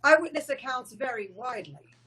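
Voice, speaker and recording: Husky, serious female news reporter. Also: high voice, animated, fairly quick, a bit bright, exaggerated voice. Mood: grave. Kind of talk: news report in animated script reading.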